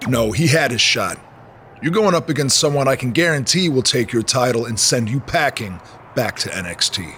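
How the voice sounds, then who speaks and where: An actor playing an irritated, menacing wrestling boss in a video game cutscene, speaking curtly. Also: male voice, medium pitch, animated, normal speed, bright, forceful tone, a little hiss or hum. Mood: stern, irritated. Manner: curt, threatening.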